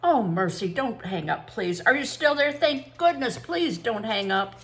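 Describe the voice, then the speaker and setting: A woman's voice: a little high, expressive, measured pace, a bit bright. A woman reading a storybook as a mischievous teenage boy who teases with exaggerated mock astonishment. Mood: mock incredulous.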